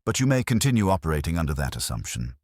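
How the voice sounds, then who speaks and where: A stern, ponderous detective of an audiobook mystery, played by a male narrator. The voice is extremely low and rough-edged, and he pronounces each terse judgment formally, without sentiment. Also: big pitch swings, quick pace, darkish tone, no echo, studio quality, full character voice. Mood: cool, firm.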